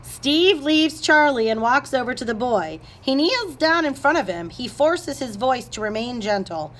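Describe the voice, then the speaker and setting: A woman's voice: high-pitched, lively intonation, a little slow, a bit bright, rough recording. A woman narrator reading scripted stage directions aloud.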